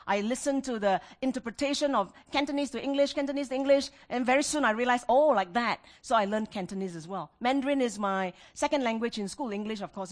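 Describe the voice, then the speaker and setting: A middle-aged woman preaches. She speaks as a pastor to her congregation, mixing scripture with personal stories. Her delivery is emphatic, with repeated phrases and questions put to her listeners. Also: on the high side. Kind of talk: sermon with personal anecdotes.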